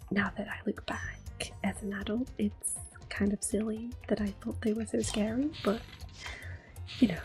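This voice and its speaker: A woman whispering close to the mic as she calmly plays through an old game and recalls it fondly. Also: mid-range voice, moderate pace. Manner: soft whispering, gently nostalgic. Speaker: ASMR gameplay host. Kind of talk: whispered ASMR game commentary. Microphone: close sensitive mic. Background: faint game music.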